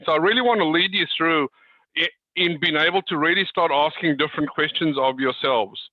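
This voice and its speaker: A man's voice: a little high, a little fast. Man coaching over a dull video call. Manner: repeats words, circles back on phrases. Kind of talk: informal coaching talk addressed to a group.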